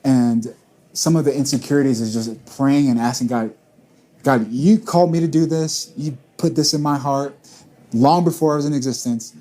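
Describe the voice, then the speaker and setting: Man in his twenties, pastor, earnest, warm mellow tone, repeats himself while thinking. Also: medium pitch, fairly even intonation, moderate pace. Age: 20s. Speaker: church planter and pastor. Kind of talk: casual panel conversation.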